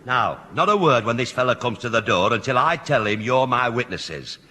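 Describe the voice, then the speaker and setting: A man's voice: mid-range voice, lively intonation, moderate pace, sharp tone. An actor playing an exasperated, threatening, short-tempered man in an old radio sitcom, blustering and scolding. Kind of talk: 1970 radio sitcom acting. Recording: noisy reverberant old radio recording.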